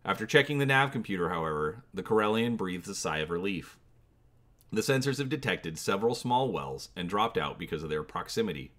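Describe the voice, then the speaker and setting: A man's voice: low voice, expressive, fairly quick, a bit bright. A man hosting his channel, giving a dramatic reading of an adventure gamebook and inviting viewers to comment on choices.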